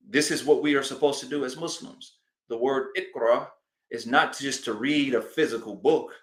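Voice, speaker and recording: A man giving a sermon as an imam, speaking deliberately and emphatically and repeating phrases to drive points home. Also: mid-range voice, a bit bright. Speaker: imam giving a religious address. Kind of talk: sermon, public teaching address. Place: indoor hall of a mosque. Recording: roomy, echoing live address.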